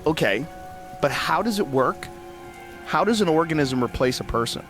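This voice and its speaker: A man's voice: on the high side, expressive, moderate pace, a bit bright, soft background music. A man acting a curious, skeptical talk show host in an audio drama, probing in a conversational way.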